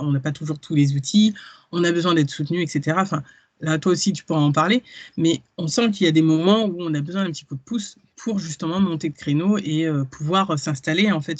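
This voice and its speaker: A man's voice: fairly high pitch, no echo. Hesitant male interviewer, dry sound. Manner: hesitant, restarting phrases. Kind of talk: casual video interview.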